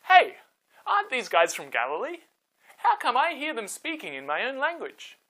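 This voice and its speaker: A man voicing amazed, excitable onlookers in a children's story, exclaiming in bewilderment. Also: extremely high pitch, normal speed, pitched above the performer's own voice, heavily acted, rough recording. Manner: exclamatory, stammering in astonishment.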